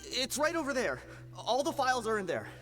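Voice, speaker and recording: An actor playing a cornered, shifty rank-and-file bandit in a video game, blustering and evasive. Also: male voice, very high-pitched, huge pitch swings, brisk, long reverb tail, over music, full character voice. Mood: nervous.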